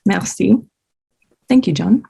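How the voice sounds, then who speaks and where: A woman's voice: medium pitch, expressive, slow pace, vocal fry, dark tone, slightly rough, exaggerated voice. Woman playing a friendly, curious French woman. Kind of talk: screenplay table read.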